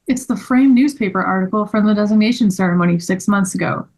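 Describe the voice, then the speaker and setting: A woman's voice: mid-range voice, fast talker, a little mellow, lightly acted. Woman reading an anxious, cautious man. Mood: puzzled. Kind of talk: table-read acting of a children's mystery.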